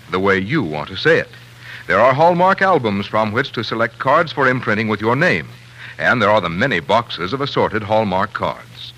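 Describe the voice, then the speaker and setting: A man's voice: on the low side, bright, forceful tone, low-quality recording. A man announcing scripted commercials in a polished, emphatic 1940s radio style. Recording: vintage 1940s radio broadcast.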